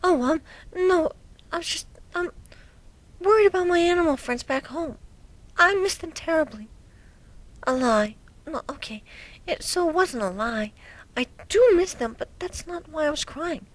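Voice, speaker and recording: A woman voicing a timid, gentle young female pegasus pony, telling a story in a soft, hesitant way that can drop to a near whisper. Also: very high voice, animated, normal speed, long pauses, rough recording. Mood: nervous, timid. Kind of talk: first-person dramatic reading of a fan story.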